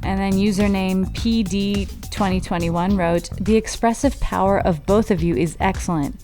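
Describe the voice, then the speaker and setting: Female podcast co-host, mid-pitched, reads listener mail in an easy, chatty way. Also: steady tone, moderate pace, dark tone, faint music behind. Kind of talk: reading listener comments aloud.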